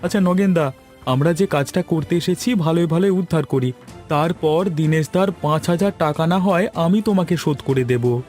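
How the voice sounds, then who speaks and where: Man playing a chatty, higher-voiced village matchmaker. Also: moderate pace, a little mellow, faint music behind, natural voice. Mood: conciliatory. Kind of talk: Bengali cartoon dialogue.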